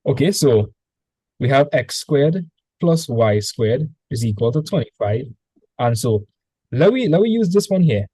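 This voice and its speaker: A man with a Trinidadian accent tutoring a student in math over a video call, relaxed and chatty. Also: mid-range voice, measured pace, husky, no room sound, poor audio. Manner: relaxed, chatty, repeats words when starting. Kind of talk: casual online tutoring session.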